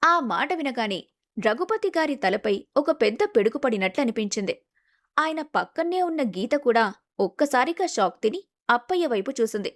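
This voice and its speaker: A woman's voice: on the high side, lively intonation, fairly quick. A woman storyteller reading scripted narration for a moral story.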